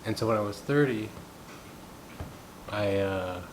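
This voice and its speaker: A man in his thirties, from the United States, talking through his thoughts in a reflective, unhurried personal monologue. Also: rough recording. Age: 30s. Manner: thoughtful, unhurried, musing aloud. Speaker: gong practitioner sharing thoughts. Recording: steady low rumbling background noise. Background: rumbling noise.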